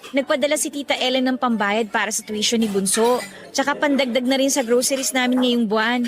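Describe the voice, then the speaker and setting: A woman's voice: a little high, steady tone, fairly quick, over music, natural voice. A woman acting in a radio drama as a burdened young working woman, talking in an earnest, conversational way. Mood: grateful.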